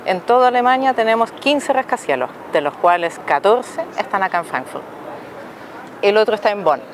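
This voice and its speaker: A chatty travel host, talking to camera outdoors in a city. The delivery is relaxed and explanatory, with questions to the viewers, and faint city noise sits behind the voice. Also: mid-range voice, moderate pace. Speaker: travel vlogger and guide. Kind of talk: casual travel narration to camera. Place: outdoors on a city tower deck and streets.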